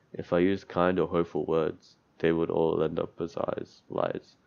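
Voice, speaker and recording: A man with a deep, creaky voice reads visual novel text aloud as he plays. He breaks in with crude, sardonic, sweary asides. The mic is dull and lo-fi, with game music behind him. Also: darkish tone. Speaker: streamer playing a visual novel. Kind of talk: let's play, reading game text aloud with commentary.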